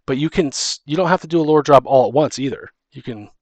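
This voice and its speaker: Male podcast co-host, medium pitch, conversational and meandering, dry recording. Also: fast talker, darkish tone, no room sound, lo-fi sound. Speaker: podcast co-host and game master. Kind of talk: casual podcast chat about tabletop gaming.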